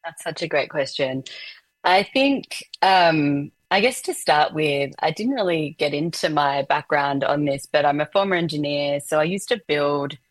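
A woman from Sri Lanka with a very low voice answers interview questions at length. She talks in an earnest, explanatory way, recorded close and dry. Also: a little slow, no room sound. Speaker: guest, community organizer.

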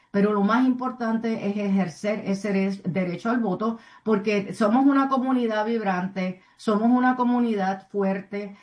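A woman speaking as a community advocate in an interview, earnest and somewhat halting, often adding tag questions. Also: medium pitch, a little mellow. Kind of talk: interview answers, civic advocacy.

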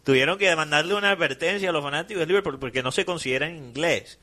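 A man in a casual panel discussion. His voice is slightly high, with a bright, forceful tone. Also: quick pace.

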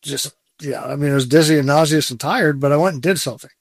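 A man's voice: fairly high pitch, quick pace, creaky, slightly rough, no room sound. A man in his sixties, a guest in a casual interview, talking candidly and with self-deprecating humor, often breaking into a laugh. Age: 60s.

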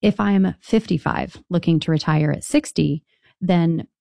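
A woman's voice: lowish voice, a little slow, gravelly, darkish tone, no echo, studio quality. A middle-aged woman, a financial advisor, explaining retirement money matters in a conversational way on a call-in show. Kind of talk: radio call-in advice chat.

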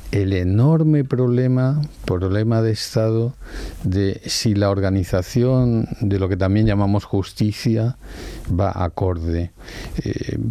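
An older man from Spain, a native speaker of Valencian, calmly explaining his views in an interview with many "eh" fillers. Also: lowish voice, dry recording. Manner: measured, explains with rhetorical questions and many "eh" fillers. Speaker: interviewee, law professor and judge. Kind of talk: reflective interview answers.

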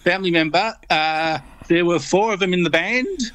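Easygoing radio regular. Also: male voice, sits high, normal speed, fairly bright tone, clear, resonant tone, dry recording. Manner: easygoing, hesitant, repeats words. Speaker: regular music segment guest. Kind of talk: casual radio chat.

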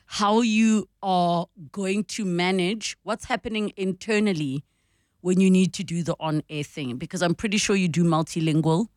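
A woman's voice: fairly low pitch, normal speed, a little mellow, no room sound, clean studio sound. A South African woman radio host speaking conversationally, explaining and advising in an encouraging manner. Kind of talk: conversational advice and interviewing.

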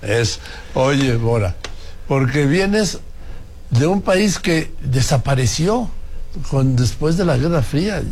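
A man's voice: mid-range voice, lively intonation, takes it slowly, a little mellow, slight background noise. An older man with a Mexican accent, hosting a conversational interview. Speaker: interviewer, host.

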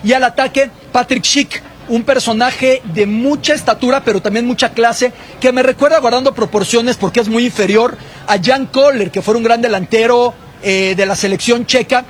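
Male sports correspondent, very high voice, faint background noise. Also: fairly even intonation, normal speed. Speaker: football commentator, guest correspondent. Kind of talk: conversational sports analysis.

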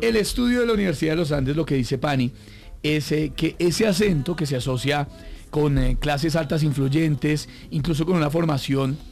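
Radio host, slightly high voice. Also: male voice, normal speed, creaky, a little mellow, soft background music. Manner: explains at length with many fillers. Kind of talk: casual radio panel chat.

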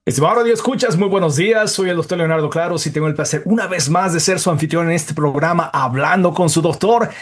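A man with a slightly high-pitched voice hosts a radio interview program. He is a doctor who presents in a courteous, formal manner. His delivery is articulate, like a prepared introduction. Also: quick pace, few pauses, soft background music.

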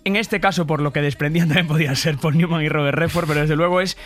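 A man's voice: fairly high pitch, steady tone, fast talker, a bit bright. A man speaking as a guest commentator, telling stories casually and effusively, piling up adjectives. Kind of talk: casual, enthusiastic storytelling in conversation. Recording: music playing behind the voice. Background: background music.

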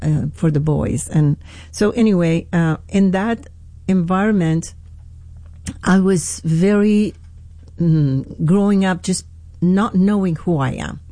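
Iranian-accented woman pastor, radio guest, mellow tone, dry close sound, earnest and halting. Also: on the low side, dry recording. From Iran. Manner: halting, earnest, many restarts. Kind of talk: radio interview.

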